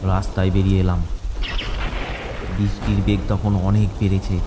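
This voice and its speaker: A man's voice: low-pitched, completely flat delivery, normal speed, background noise. A man narrating a scripted first-person horror story in a dramatic, suspenseful way. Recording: loud music and rain behind. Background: music and rain sound effects.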